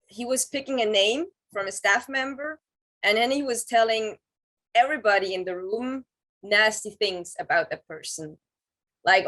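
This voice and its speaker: A woman in her thirties with a Swiss German accent talks through her story in an interview. She pauses often and fills the gaps with "um" as she searches for English words. Her voice sits at a middle pitch. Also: a bit bright. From Switzerland. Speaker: interview guest. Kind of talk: casual interview over video call.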